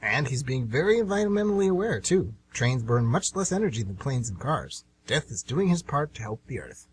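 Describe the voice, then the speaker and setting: A man's voice: medium pitch, big pitch swings, a little fast, lightly acted. A man playing an easygoing, wry train passenger in an amateur radio play. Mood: wry, musing. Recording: faint noise and hum.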